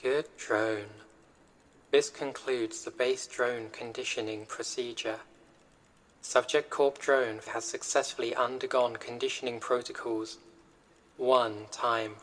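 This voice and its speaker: A man voicing an impassive corporate AI in an audio roleplay, reciting directives in a formal, measured, impersonal way. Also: mid-range voice, steady tone, fairly bright tone, rough recording. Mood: impassive, instructive. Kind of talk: scripted audio roleplay announcement.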